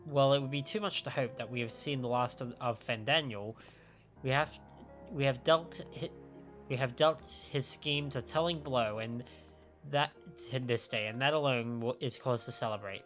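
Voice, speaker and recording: A man's voice: medium pitch, moderate pace, fairly bright tone, lo-fi sound. A man on a live game stream, reading dialogue aloud with stumbles over the words and reacting to it. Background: game music.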